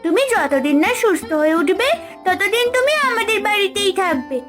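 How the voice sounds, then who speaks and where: A caring young village girl from a cartoon folk tale, voiced by a woman. Her voice is very high and lively, and she talks earnestly and tenderly. Music plays underneath. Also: moderate pace. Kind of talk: cartoon voice acting.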